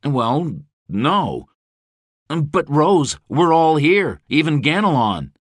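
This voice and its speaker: A man's voice: on the high side, slow, dry recording, clean studio sound, voice pushed up, heavily acted. A male narrator playing a determined middle-aged father who speaks firmly and steadily to his daughter. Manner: firm, steady.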